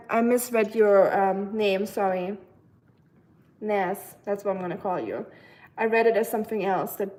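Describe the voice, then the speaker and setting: A woman's voice: medium pitch, mellow, poor audio. A young woman chatting casually on a live stream while cooking at home, reading comments with lots of fillers and giggly asides. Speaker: livestreamer.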